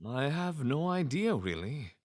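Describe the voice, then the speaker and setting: A man playing a gracious, courtly elf patriarch in an audio drama, with smooth, drawn-out phrasing. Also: fairly high pitch, very animated, no room sound, clean studio sound. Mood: musing. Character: gracious, ambitious elf patriarch and spymaster mage.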